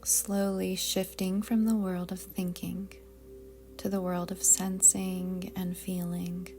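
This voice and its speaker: A woman guiding a yoga nidra meditation in a soft, breathy, calm and deliberate voice that often trails toward a whisper. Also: lowish voice, soft background music. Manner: calm, soothing, gentle, deliberate. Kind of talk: slow guided meditation narration.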